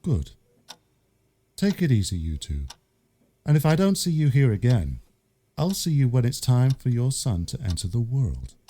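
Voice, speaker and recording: A man's voice: mid-range voice, huge pitch swings, normal speed, long pauses, warm tone. A man voicing a kindly, reassuring pony doctor in an audio story.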